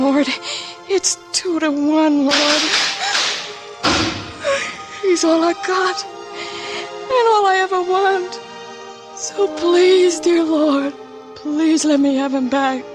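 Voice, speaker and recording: A tender, worldly frontier woman speaks in a high voice. She is slow, halting and pleading, with all the drama of 1940s radio. Music plays beneath her on the old broadcast.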